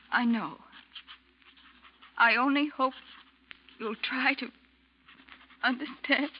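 A woman playing a despairing, resigned lover in a 1940s radio drama, speaking haltingly. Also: fairly high pitch. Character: despairing woman bidding her lover a final farewell. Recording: muffled, faintly noisy old radio recording.

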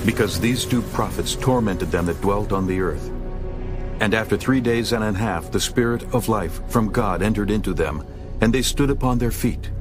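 A man reads scripture as a dramatized audiobook narrator. His voice is on the low side, solemn and measured. Music plays clearly behind him.

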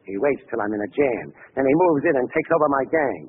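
A man's voice: mid-range voice, animated, fast talker, lightly acted. A man playing a defiant, vengeful gangster in 1940s radio acting, with slangy tough-guy talk. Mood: vengeful, bitter. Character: defiant vengeful American gangster facing execution. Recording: tinny, hissy old radio recording.

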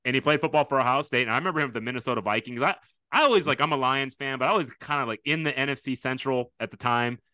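A man hosting a podcast in a casual interview chat, with a big booming voice and a loud, enthusiastic way of talking. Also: rapid-fire, dry recording, clean studio sound. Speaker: podcast host.

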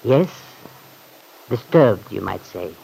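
An actor playing a cautious, worried manservant, formal and discreet, with a creaky voice, in an old-time radio serial. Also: male voice, on the low side, sing-song, slow, dark tone, exaggerated voice. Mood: worried caution. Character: deferential, cautious, worried manservant advising his employer. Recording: faintly noisy old radio recording.

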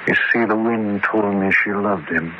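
An actor playing a knowing, coolly resigned husband in a radio drama, measured and sardonic. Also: male voice, on the low side, natural voice. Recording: muffled, faintly noisy radio recording.

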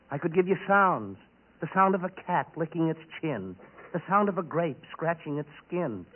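High-pitched, boastful radio comedy oddball. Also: male voice, phone-quality sound. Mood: eager, boastful. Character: eager, boastful sound-effects man, comic oddball. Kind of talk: 1940s radio comedy acting. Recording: band-limited old radio broadcast.

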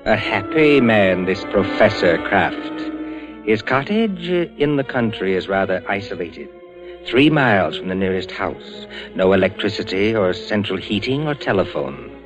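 Slow, low-voiced 1940s radio narrator man. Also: very animated. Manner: dramatic, deliberate suspense-building. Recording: muffled 1940s radio broadcast recording. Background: dramatic music.

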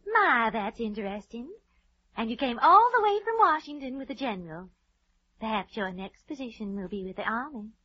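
An actress playing a gushing, genteel nineteenth-century society hostess with effusive politeness in an old-time radio drama. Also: female voice, fairly high pitch, lively intonation, normal speed, sharp tone, slightly rough, heavily acted. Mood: gushing, gracious. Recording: dull vintage radio recording.

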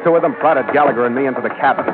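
A man's voice: medium pitch, rapid-fire, close to an everyday voice. An American man playing a weary, sardonic ship master in a 1940s radio adventure, with dry, clipped, hard-boiled delivery. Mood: tense. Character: weary, sardonic male ship master narrating his voyage log. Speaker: narrator and lead actor. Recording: muffled vintage radio broadcast, loud music.

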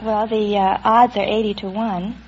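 A woman's voice: medium pitch, fairly even intonation, moderate pace. Young American woman on noisy old radio. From Tacoma, Washington, United States. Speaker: quiz show contestant. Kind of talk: short casual interview answers. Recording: dull, noisy 1950s radio recording.

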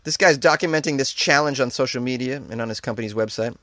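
A man's voice: medium pitch, quick pace, fairly bright tone, no room sound, clean studio sound. A man hosting a comedy show, reading odd news stories aloud and riffing on them with jokey, mock-incredulous asides, laughing at his own jokes. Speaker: host.

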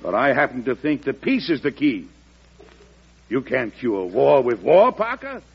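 A man's voice: medium pitch, very animated, a little slow, gravelly, slightly rough, exaggerated voice. An American man acting an earnest, weary President pleading for peace in an old-time radio drama, speaking with oratorical emphasis. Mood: indignant. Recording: dull, lo-fi vintage radio recording.

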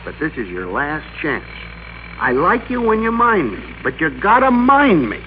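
An actor playing a bossy, excitable office worker in an old-time radio drama, with a domineering, emphatic, theatrical delivery. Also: male voice, on the high side, moderate pace. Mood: stern, patronizing. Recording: noisy, dull old radio recording.

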